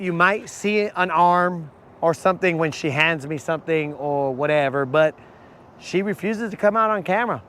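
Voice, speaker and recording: Hoarse, slangy fishing host outdoors. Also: male voice, high voice, fairly bright tone. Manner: casual, slangy, calls viewers bro. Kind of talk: live-stream chat while fishing. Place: outdoors on a boat on a lake.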